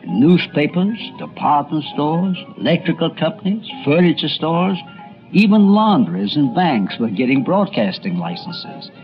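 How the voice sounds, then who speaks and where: A male documentary narrator tells history with a very lively, varied pitch and chatty asides. The recording is dull and lo-fi, with faint music around him. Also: unhurried. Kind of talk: scripted storytelling narration with conversational asides.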